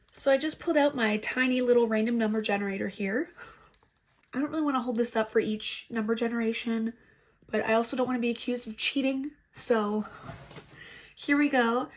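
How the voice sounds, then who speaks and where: A woman vlogger talks through a makeup tutorial in a chatty, self-deprecating way, her voice a touch high and audibly stuffed up from congestion. She sounds like she is at home, recorded on a laptop microphone. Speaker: beauty vlogger. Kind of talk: casual makeup tutorial chat. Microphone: laptop built-in mic.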